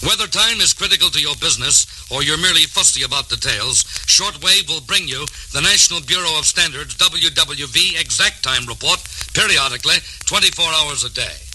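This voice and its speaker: A male American announcer delivers a scripted 1950s promotional narration. His voice is very bright and forceful, set slightly high. It comes off an old vinyl record with faint surface noise. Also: animated, brisk, hardly any pauses, poor audio.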